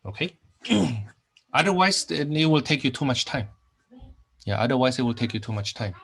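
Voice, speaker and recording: A man's voice: mid-range voice, moderate pace, gravelly, fairly bright tone, husky. A middle-aged man from Indonesia teaching a math class over a screen recording, often repeating phrases and saying okay. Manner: repeats phrases, many fillers and okays. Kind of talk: recorded online math lecture.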